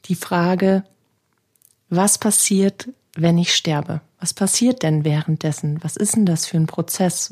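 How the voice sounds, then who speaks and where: A middle-aged German woman with a cold, hosting a podcast on grief and spirituality and talking gently and reflectively in a loose monologue that is full of questions. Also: fairly low pitch. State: has a cold. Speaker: podcast host, grief counselor.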